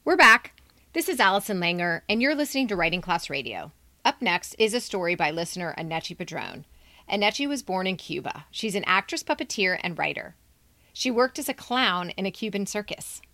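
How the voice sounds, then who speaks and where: A woman's voice: mid-range voice, sing-song, fairly quick, bright, forceful tone, dry recording. A woman hosting a podcast, reading scripted introductions and announcements. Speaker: podcast host.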